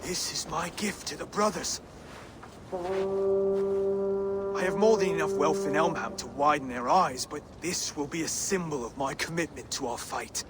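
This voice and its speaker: An actor playing an earnest, dignified Saxon lord in a video game, with a formal, deliberate way of speaking. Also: male voice, fairly high pitch, loud music behind the voice, close to an everyday voice. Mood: proud, earnest. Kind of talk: dramatic video game acting.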